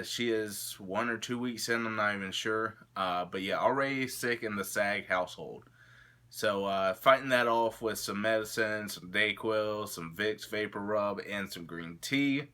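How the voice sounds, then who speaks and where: A man's voice: lowish voice, pushed and bright. A young man with a stuffy, congested nose, rambling casually to camera in his vlog with lots of filler words. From Florida, USA. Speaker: YouTube vlogger.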